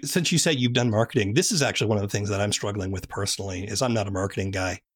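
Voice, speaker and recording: Soft-spoken, low-voiced male host. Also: racing. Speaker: podcast host. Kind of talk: interview and scripted outro.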